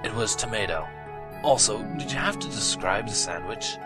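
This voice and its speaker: A man voicing a calm, matter-of-fact male pony guard captain in his own low voice. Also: moderate pace, natural voice. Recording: loud music behind.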